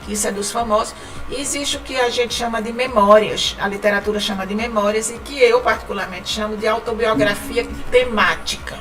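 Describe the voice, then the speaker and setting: A woman from Northeast Brazil speaks with a northeastern Brazilian accent, talking freely as a podcast guest. Her voice sits a little high and she laughs often, repeating words as she goes. She is heard from a step back from the mic, with clear music playing behind her. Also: steady tone, fairly quick, fairly bright tone, distant mic, low-quality recording. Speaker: guest, writing company founder. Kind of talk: casual podcast interview.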